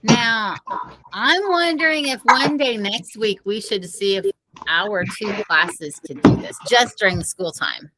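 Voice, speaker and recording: A woman's voice: medium pitch, unhurried, noisy background. A woman in casual discussion, thinking aloud in a tentative, musing manner.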